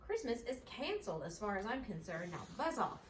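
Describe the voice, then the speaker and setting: A woman's voice: medium pitch, big pitch swings, brisk, close to an everyday voice. Mom doing a grumpy storybook squirrel guy, snappy n growly, roomy sound, bit far from mic. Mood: furious. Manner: huffy, growling, shouting. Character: grumpy, snappish male squirrel who hates Christmas. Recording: roomy, distant mic, faint noise.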